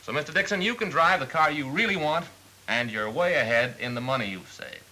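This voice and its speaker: An actor playing a smooth, persistent car salesman with polite, persuasive, methodical patter in a mid-century training film. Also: on the high side, lively intonation, brisk, bright, forceful tone, rough recording. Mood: confident. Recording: old film soundtrack with faint hiss.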